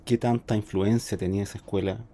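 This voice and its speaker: A man in his forties with a Chilean accent, a student asking a hesitant, halting question in class. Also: lowish voice, fairly even intonation, dark tone. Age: 40s. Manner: hesitant, halting, many fillers and restarts.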